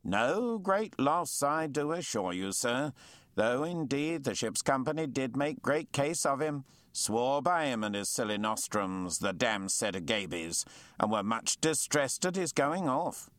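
Narrator man putting on a cranky old navy lieutenant, blustery and higher, dry mic. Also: lively intonation, unhurried, a bit bright, dry recording. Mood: indignant. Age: 50s. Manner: blustering, indignant, old-fashioned.

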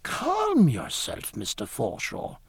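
A man voicing a mild, courteous naval doctor with a formal, measured, politely questioning manner in an audiobook. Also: on the high side, huge pitch swings, vocal fry, breathy edge, no echo, heavily acted. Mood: calm. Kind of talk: audiobook character dialogue.

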